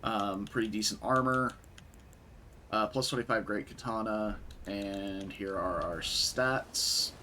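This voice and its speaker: A young man with a raspy voice from a concert the night before, casually commentating a game stream and chatting with viewers. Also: lowish voice, slow, slight background noise. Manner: relaxed, chatty, answers chat.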